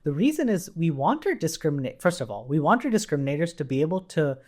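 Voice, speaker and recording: A man's voice: fairly high pitch, very animated, quick pace. A man giving an informal coding tutorial, thinking aloud as he explains, repeating phrases and checking in as he goes. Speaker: programming instructor. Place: indoors at a computer with keyboard typing.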